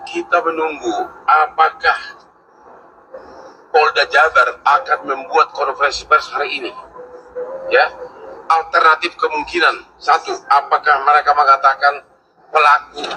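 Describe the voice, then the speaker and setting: A man giving commentary, reasoning deliberately through open questions. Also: on the high side, bright, forceful tone, a little hiss or hum, rough recording. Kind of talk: spoken commentary.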